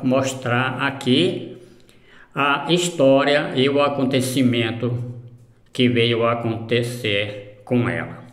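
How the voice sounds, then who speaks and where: A grieving man hosting his own channel, speaking in a halting, somber way with many pauses and fillers, recorded on a phone outdoors. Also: mid-range voice, fairly bright tone, echoey room. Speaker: channel host. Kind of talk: grieving personal announcement.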